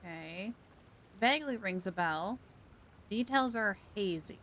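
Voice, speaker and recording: A woman streaming a game, chatting animatedly and thinking out loud. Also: mid-range voice, expressive, unhurried, slight background noise. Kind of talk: casual live-stream commentary.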